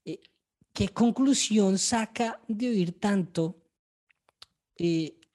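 A woman's voice: medium pitch, drawn-out words, warm tone. A woman with a Colombian accent co-hosting a casual podcast chat in blunt slang, swearing freely. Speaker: podcast co-host. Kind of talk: casual chat about pop culture.

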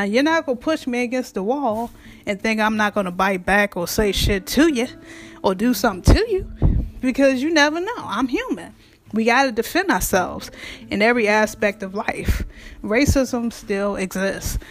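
A woman with a raspy voice and speech, hosting her own show in a blunt, frank monologue that swears freely. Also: a little high. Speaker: podcast host. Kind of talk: casual monologue.

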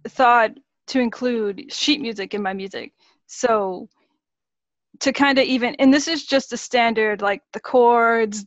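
Measured woman singer-songwriter in her thirties. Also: on the high side, no room sound, highs cut off. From Massachusetts, USA. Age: 30s. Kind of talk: interview answers.

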